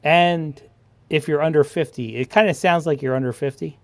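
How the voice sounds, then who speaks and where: A man's voice: fairly high pitch, moderate pace, dry recording. A man in casual conversation on an online livestream panel.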